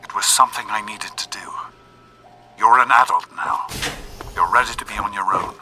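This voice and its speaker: An actor playing a gentle middle-aged father and doctor in a video game, speaking earnestly and hesitantly with regret and love. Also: lowish voice, moderate pace, breathy edge, soft background music, exaggerated voice. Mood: sad, tender. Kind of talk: restrained dramatic video game acting.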